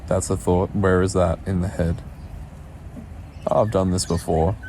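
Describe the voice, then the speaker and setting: A man leading a guided meditation in a soothing, unhurried way, leaving pauses between short instructions. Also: low voice, flat delivery, mellow, a little hiss or hum.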